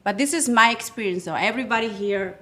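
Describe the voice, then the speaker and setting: A woman from Argentina with an Argentine Spanish accent, a fitness coach guest speaking polite, earnest, slightly halting English. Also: mid-range voice, fairly bright tone. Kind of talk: casual podcast interview.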